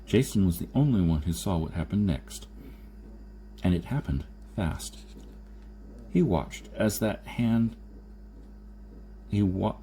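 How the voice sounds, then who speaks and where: A man narrates a science fiction tale in a relaxed, dark-toned voice that sits a little low. He reads from a script as an audiobook narrator. There is some faint noise behind him. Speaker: audiobook reader. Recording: noticeable background noise.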